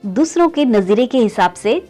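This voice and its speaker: A woman's voice: expressive, normal speed, mellow, faint music behind. A woman narrating a moral story in a conversational way, often addressing listeners as friends and lifting her voice at each new turn of the tale. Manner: keeps calling listeners "friends", pitch rising on story beats.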